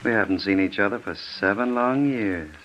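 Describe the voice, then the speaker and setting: An actor playing a bitter, gray-haired ex-convict with a deliberate, menacingly polite manner, in old radio drama style. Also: male voice, fairly low pitch, highs cut off, natural voice. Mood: coldly sardonic. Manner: deliberate, sardonic. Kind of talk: 1940s radio drama acting. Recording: noisy old radio recording.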